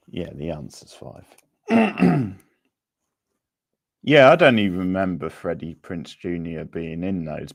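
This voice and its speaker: A man's voice: low voice, vocal fry, no echo, clean studio sound. A man hosting a quiz in a casual, chatty way, rambling a little as he thinks aloud. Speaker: quiz host.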